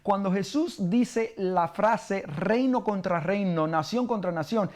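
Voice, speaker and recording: A man from Cuba with a Cuban Spanish accent, a pastor teaching a bible study sermon, halting with many fillers and questions to his listeners. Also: high voice, darkish tone.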